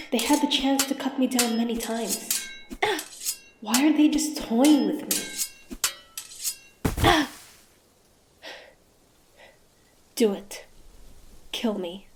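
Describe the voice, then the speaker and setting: Woman performing a proud female monster hunter, fantasy audio roleplay, fairly high voice, slow delivery, haughty, dramatic. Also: noisy background. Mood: weak, bewildered. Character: proud, defiant young female monster hunter, grudgingly curious.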